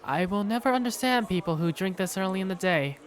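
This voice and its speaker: A woman reading first-person narration and lead lines for a game fandub in an expressive, conversational way. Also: mid-range voice, a little fast. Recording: faint music behind the voice.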